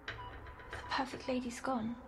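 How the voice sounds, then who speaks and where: High-voiced, entranced young girl, echoey. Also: little pitch movement. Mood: dreamy wonder. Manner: hushed, halting, wondering. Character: timid young girl in a dreamlike trance. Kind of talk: audio fiction drama acting. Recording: echoey, distant, under loud eerie music.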